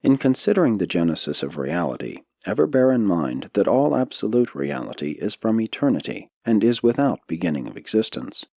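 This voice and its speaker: A man narrating a spiritual audiobook in a solemn, measured way. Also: lowish voice, sing-song, vocal fry, dark tone, dry recording, muffled recording. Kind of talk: scripted narration of a spiritual text.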